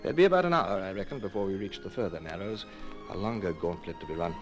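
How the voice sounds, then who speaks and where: An actor playing a brisk, self-controlled British naval commodore in an old radio drama, clipped and commanding. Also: male voice, low voice, lively intonation, faint music behind. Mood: measured, reflective. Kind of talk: old radio drama acting, with first-person narration.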